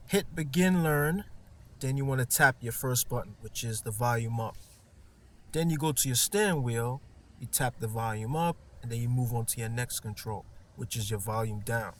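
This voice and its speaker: A man's voice: mid-range voice, normal speed, fairly bright tone. A man giving a casual, hands-on installation tutorial in an informal, slangy, playful way. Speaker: car audio installer demonstrating a product. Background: music from a car stereo.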